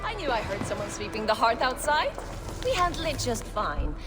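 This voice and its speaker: A woman's voice: on the high side, sing-song, moderate pace, loud music behind the voice. A woman playing a brash, taunting Viking warrior in a video game, with a mocking, boisterous delivery. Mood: glad, hearty. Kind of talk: dramatic video game acting.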